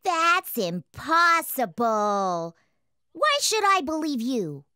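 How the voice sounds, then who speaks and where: A performer playing a curious, naive young farm boy in an animated children's story, wide-eyed, hesitant and excitable. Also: high-pitched, slow, clean tone, dry recording, clean studio sound, exaggerated voice. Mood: doubtful.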